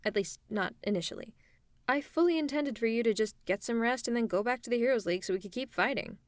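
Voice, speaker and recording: A woman's voice: on the high side, animated, quick pace, no room sound, studio quality. A woman playing a smug teasing supervillain. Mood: scheming. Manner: teasing, persuasive, conversational. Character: smug, scheming female supervillain, adult. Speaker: ASMR roleplay creator. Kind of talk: one-sided ASMR roleplay to a silent listener.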